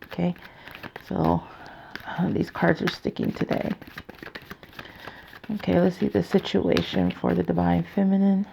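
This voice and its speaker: A tired woman with a raspy voice, hosting a casual card reading in a halting, chatty, informal way. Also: on the low side, little pitch movement, warm tone, a little hiss or hum. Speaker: tarot reader, channel host. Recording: dull, muffled lo-fi sound.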